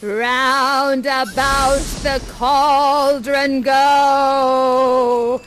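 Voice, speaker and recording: A woman playing an evil witch, declaiming spells in drawn-out theatrical verse over a cauldron. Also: sits high, level tone, a bit bright, faint music behind, full character voice. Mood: dark incantation. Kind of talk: theatrical Shakespearean verse chant.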